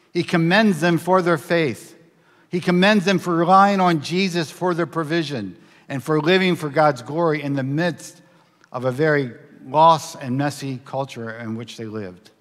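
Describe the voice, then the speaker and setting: A middle-aged male pastor preaches from the pulpit in a fairly high voice. He asks earnest questions and presses his points with emphasis. The church livestream recording carries a long reverb from the sanctuary. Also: measured pace, a bit bright. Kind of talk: sermon with scripture reading and prayer.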